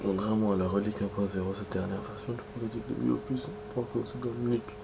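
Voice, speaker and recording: A man's voice: fast talker, noisy background. A man with a cold, casually reading in-game text aloud and chatting while he plays. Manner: low, flat, gravelly delivery with muttered asides. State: has a cold, clearing his throat. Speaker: gaming streamer.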